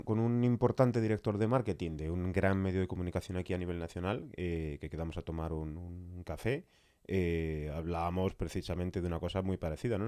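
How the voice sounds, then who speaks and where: A man's voice: low-pitched, mellow, dry recording, studio quality. A man with an accent from Spain talking casually and emphatically in a podcast conversation, repeating phrases for stress. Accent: Spanish (Spain). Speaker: podcast interviewer.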